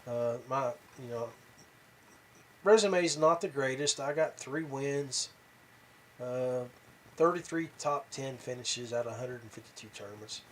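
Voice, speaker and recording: A man's voice: medium pitch, a little slow, a bit bright, low-quality recording. Man talking casually over background noise. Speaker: podcast host. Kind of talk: casual rambling talk to viewers about tournament fishing.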